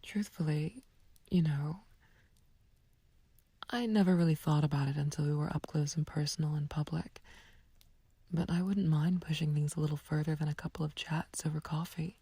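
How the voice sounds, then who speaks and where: A woman playing a flirtatious, taunting villain in an ASMR roleplay, speaking softly and breathily in a teasing, coaxing, languid way. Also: sits low, dry recording, heavily acted. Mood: flirty, coaxing. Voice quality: breathy, whispery, soft. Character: flirtatious, taunting female villain sweet on a captive friend. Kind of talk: ASMR roleplay, intimate scripted acting.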